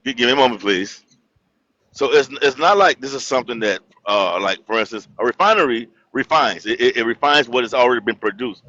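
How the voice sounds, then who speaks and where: A man calling in to a live chat, talking calmly at first and then growing loud and indignant as he argues. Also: medium pitch, brisk, slight background noise. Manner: builds from calm to loud indignant rants. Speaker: caller. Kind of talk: heated casual debate. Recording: muffled dull audio.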